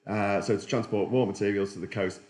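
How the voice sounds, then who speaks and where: A man's voice: lowish voice, steady tone, a little fast, a little mellow, echoey room. A middle-aged man hosting a political livestream, rambling sardonically and reading out chat comments. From Britain.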